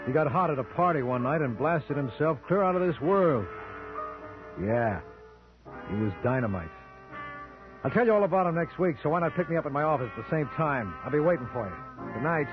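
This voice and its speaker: An actor playing a cynical, wisecracking American lawyer-sleuth in an old-time radio detective drama, with a dry, sardonic delivery. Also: male voice, a little high, animated, fairly quick, natural voice. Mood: wry. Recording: dull old radio broadcast with music.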